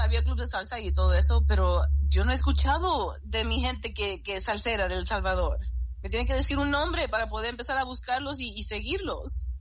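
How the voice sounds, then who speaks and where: A woman's voice: fairly high pitch, dull audio. A woman, a salsa singer, answering interview questions in a chatty way with many fillers and English words dropped in.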